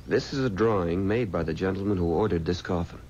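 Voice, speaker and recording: An actor playing an earnest, uneasy young mortician with a hesitant way of talking in a 1970s radio drama. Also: male voice, low-pitched, fairly quick, darkish tone, natural voice. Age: young adult. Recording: dull, faintly noisy 1970s radio recording.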